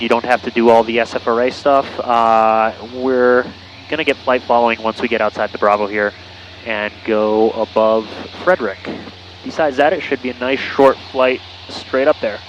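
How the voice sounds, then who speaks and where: A man's voice: medium pitch, fairly bright tone, low-quality recording. A man chatting casually on an aviation headset, explaining and joking as he pilots a small plane. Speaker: private pilot and vlogger. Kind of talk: casual chat and radio calls while flying. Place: small airplane cockpit in flight. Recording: cockpit intercom with engine noise.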